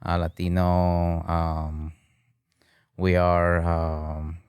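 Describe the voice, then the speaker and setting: Guy in his 30s from peru, real low smooth voice, laid-back chatty interview guest, lots of "you know". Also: very slow, dry recording, clean studio sound. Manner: relaxed and talkative, many "you know" and "right?", slips into Spanish. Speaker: guest, bartending business owner. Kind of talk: casual interview chat. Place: podcast studio with flags on the wall.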